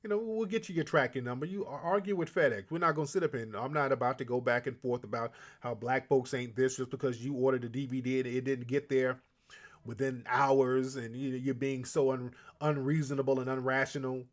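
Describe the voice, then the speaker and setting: A man's voice: medium pitch, steady tone, brisk. A man hosting a call-in show, talking bluntly and emphatically to callers. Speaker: host.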